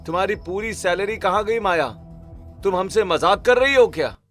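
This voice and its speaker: A man's voice: high voice, expressive, moderate pace, fairly bright tone, faint music behind, voice pushed up. Stern, scolding father-in-law played by a man. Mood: indignant. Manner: reproachful. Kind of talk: cartoon family melodrama acting.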